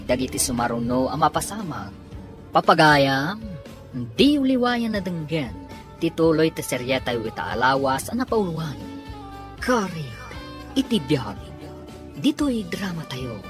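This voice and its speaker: Woman, radio serial narrator, deep pitch, lively intonation, scripted delivery, background music. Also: measured pace, long pauses. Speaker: radio drama announcer.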